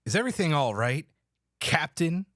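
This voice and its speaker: A man acting as a cocky starship officer with very animated pitch swings. He sounds glib and bewildered, recorded dry and close for a sci-fi table read. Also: moderate pace, no echo. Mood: concerned.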